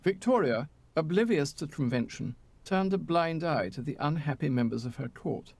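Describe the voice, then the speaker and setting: A man's voice: a little high, animated, moderate pace, darkish tone, no echo. A man presenting a documentary in scripted narration. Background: music.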